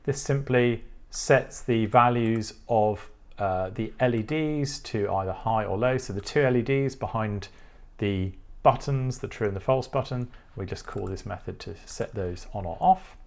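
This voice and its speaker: A man's voice: lowish voice, unhurried, gravelly. A man presenting a technical tutorial, explaining step by step in a methodical way. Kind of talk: explanatory programming tutorial, semi-scripted walkthrough.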